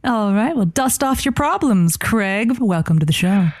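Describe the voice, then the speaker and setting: Woman playing mellow, slow, soothing radio advice host. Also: mid-range voice, lively intonation, a little hiss or hum, natural voice. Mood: welcoming. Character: soothing, encouraging female call-in sex-advice radio host. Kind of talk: scripted comedy parody of call-in radio.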